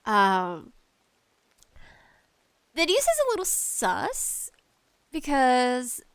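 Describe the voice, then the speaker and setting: Woman podcast host just chatting, kinda high clear voice, slangy, lots of little asides. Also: wildly swooping pitch, drawn-out words, no room sound. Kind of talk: casual solo gaming chat.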